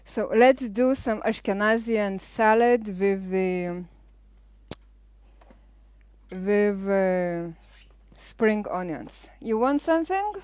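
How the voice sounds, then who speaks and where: A woman's voice: medium pitch, animated, a little mellow, clean tone, dry recording. Lithuanian woman hosting a live cooking show. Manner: chatty, explains while cooking, frequent uh pauses. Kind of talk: casual live cooking demonstration.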